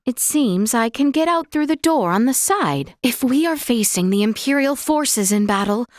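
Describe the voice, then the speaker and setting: A woman voicing an earnest, dutiful young royal heroine in a video game, speaking in formal, archaic, measured phrases. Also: fairly high pitch, no room sound, clean studio sound. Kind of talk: video game character voice acting.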